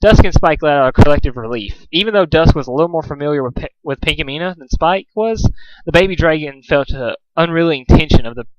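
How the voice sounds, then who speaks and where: A man's voice: fairly high pitch, expressive, quick pace, dry recording, low-quality recording. A man reading a story aloud as an amateur narrator, stumbling over and repeating phrases now and then. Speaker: fan fiction reader, narrator.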